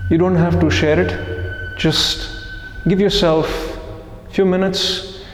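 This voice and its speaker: Guy teaching meditation, really slow and measured, roomy echo with long reverb. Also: high voice, level tone, faint music behind. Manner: measured, deliberate phrasing with pauses. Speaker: meditation teacher leading a session. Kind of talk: guided meditation talk to a group. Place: echoey indoor room, live group session. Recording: echoey room with long reverb.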